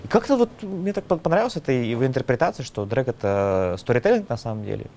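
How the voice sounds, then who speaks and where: A man with a mid-pitched voice explains his ideas in a rambling way, pausing often as he searches for words. He speaks in a documentary interview, with faint ambient noise around him. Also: very animated, dark tone. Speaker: organizer of a drag contest.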